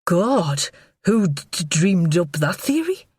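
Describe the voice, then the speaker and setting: A woman's voice: lowish voice, very animated, slow, a little mellow, breathy edge, no room sound, studio quality, heavily acted. A woman reading the part of a nervous, stammering middle-aged man in an audiobook. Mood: defensive. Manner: stammering, anxious. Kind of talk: audiobook character voice.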